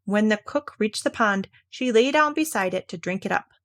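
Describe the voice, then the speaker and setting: A woman reading a fairy tale aloud as scripted narration, in a measured, expressive storytelling manner. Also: mid-range voice, no echo.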